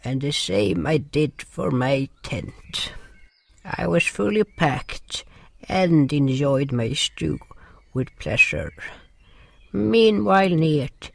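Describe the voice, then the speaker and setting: A man playing a gentle, sorrowful creature who narrates an audio drama in a deliberate, solemn way. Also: a little high, expressive, vocal fry, no echo, full character voice. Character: gentle, sorrowful non-human narrator River, caring companion.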